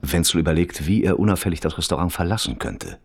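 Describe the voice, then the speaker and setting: Radio drama narrator, low-pitched, detached and observant, precise, dry wording, varied intonation. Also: male voice, very animated, quick pace, creaky, husky, heavily acted.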